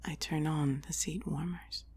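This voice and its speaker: Slow whispering woman guiding relaxation. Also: sits low, steady tone, darkish tone. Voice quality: breathy whisper. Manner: slow, soothing, deliberate phrasing with long pauses. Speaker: guided meditation narrator. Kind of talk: whispered guided relaxation, ASMR-like scripted narration.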